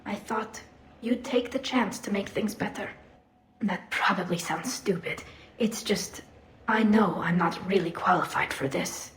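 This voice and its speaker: A woman's voice: mid-range voice, unhurried, a bit bright, natural voice. Woman playing an anxious young alien admiral, echoey. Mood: earnest, unsure. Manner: hesitant, earnest. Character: anxious young female alien admiral, earnest and self-doubting. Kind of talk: video game dialogue acting.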